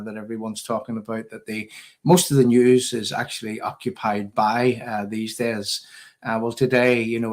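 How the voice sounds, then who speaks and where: A man with a Northern Irish accent hosting a political livestream in a casual, conversational way, often hesitating. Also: mid-range voice.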